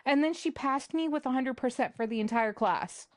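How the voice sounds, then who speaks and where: A young woman co-hosting a casual podcast chat, joking around with quick asides. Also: high-pitched, no echo. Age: young adult. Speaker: podcast co-host.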